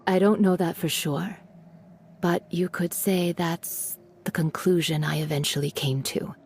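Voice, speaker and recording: A lowish, gravelly-edged mature woman as a gentle game widow. She speaks softly and measuredly, with weary forgiveness. Faint noise lies behind her. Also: fairly even intonation. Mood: regretful, somber. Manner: measured, consoling. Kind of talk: video game voice acting.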